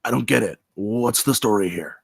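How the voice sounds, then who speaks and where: A man playing a vain, insecure Bigfoot with a raspy, gravelly voice in a comedic table read. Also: fairly low pitch, animated, moderate pace, full character voice. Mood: insecure, sulky. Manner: needy, dramatic, swinging from sulky to indignant. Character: vain, insecure, excitable male Bigfoot, amateur movie star.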